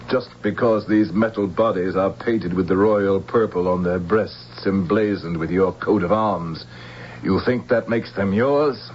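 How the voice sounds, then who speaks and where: Ruthless low-voiced general. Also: male voice. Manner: commanding, scornful. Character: ruthless, power-hungry male general, menacing villain. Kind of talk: radio drama villain acting. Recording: dull lo-fi radio recording, faint hiss.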